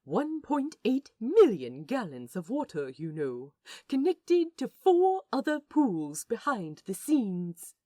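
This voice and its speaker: Gentle, mellow, forlorn fussy man, voiced by a woman. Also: a little high, sing-song, slow pace, heavily acted. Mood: glum, rambling. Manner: earnest, fussy, wistful, old-fashioned politeness. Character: gentle, forlorn, rambling man, an aquarium docent. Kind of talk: audiobook character acting.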